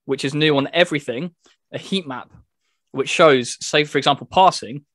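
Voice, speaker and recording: A man's voice: on the high side, moderate pace, fairly bright tone, dry recording. Man casually explaining things, dry sound. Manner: explanatory, step-by-step. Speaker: podcast co-host reviewing a video game. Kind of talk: casual chat.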